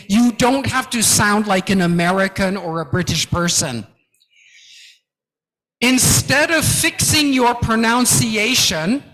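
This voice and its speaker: A man's voice: sits high, fairly bright tone. A man giving an interactive lecture on presentation skills, emphatic and encouraging, counting aloud through drills in a large lecture hall. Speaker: guest lecturer. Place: large lecture hall with about two hundred people. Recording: echoey hall reverb.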